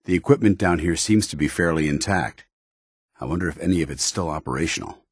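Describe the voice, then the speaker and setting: A stern soldier of principle, with an extremely low voice that has a husky edge. He speaks formally and earnestly, like a commanding officer loyal to his order. It is video game companion dialogue, recorded dry and close. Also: fast, no echo. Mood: watchful, businesslike.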